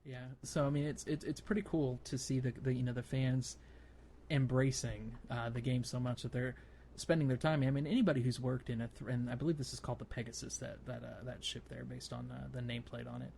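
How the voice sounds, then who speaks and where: A man co-hosting a casual gaming podcast, talking in a halting, rambling way with repeated words. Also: mid-range voice, fairly even intonation, vocal fry, mellow, slight background noise. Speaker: podcast co-host.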